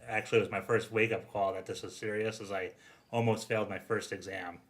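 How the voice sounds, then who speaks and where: A man's voice: on the low side, steady tone, fast talker. A man hosting a fire service podcast, talking casually and rambling with lots of "like". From New York. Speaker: podcast host.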